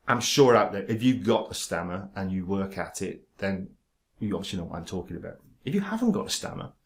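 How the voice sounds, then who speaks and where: Male, low-pitched; halting delivery with stammer control, frequent fillers and restarts. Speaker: vlogger talking about his stammer. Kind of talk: casual direct-to-camera talk.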